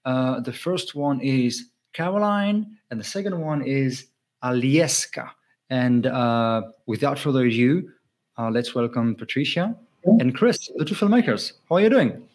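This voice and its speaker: A man's voice: mid-range voice, expressive, measured pace. Upbeat male interview host. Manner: upbeat, welcoming, asks questions. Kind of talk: hosting an interview.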